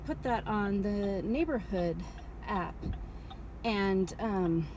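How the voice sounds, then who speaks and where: A woman's voice: mid-range voice, takes it slowly, darkish tone, slight background noise. A middle-aged woman chatting casually in a home vlog, telling rambling stories with lots of fillers. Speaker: vlogger reselling scrap. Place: at home, garage and car.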